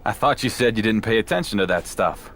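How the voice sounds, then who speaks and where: An actor playing a self-assured, matter-of-fact champion wrestler in a conversational video game cutscene. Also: male voice, fairly low pitch, expressive, brisk, a bit bright, slight background noise. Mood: unbothered, confident. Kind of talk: conversational scripted cutscene acting.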